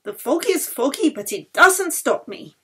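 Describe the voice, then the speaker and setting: Woman voicing a bright, high, determined violinist. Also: big pitch swings, a little slow, full character voice. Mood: cheerful. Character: determined, kindly woman violinist, calm and cheerful.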